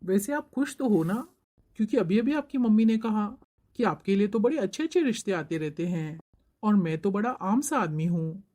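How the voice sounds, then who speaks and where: A woman's voice: mid-range voice, animated, moderate pace, warm tone, close to an everyday voice. A woman voicing a polite young man courting a bride in a cartoon story, accommodating and eager to please. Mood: courteous, playful. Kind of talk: Hindi cartoon moral-story voice acting.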